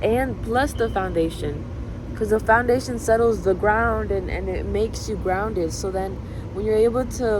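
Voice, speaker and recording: A young woman whose first language is Spanish, thinking out loud in a rambling, reflective monologue full of fillers, recorded outdoors. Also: mid-range voice. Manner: musing, filler-heavy, drifting between thoughts. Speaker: vlogger. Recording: street and traffic noise behind.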